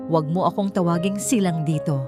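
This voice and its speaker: A woman's voice: lowish voice, brisk, natural voice. Woman acting out a domineering, angry army major. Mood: cold contempt. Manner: domineering, scornful. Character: angry, contemptuous male army major, cruel husband. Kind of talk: dramatic audio story. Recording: music bed under the voice.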